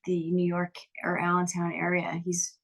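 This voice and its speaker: A woman's voice: on the low side, completely flat delivery, moderate pace. A woman musician chatting casually as an interview guest, upbeat and talkative with frequent restarts. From Lehigh Valley, Pennsylvania.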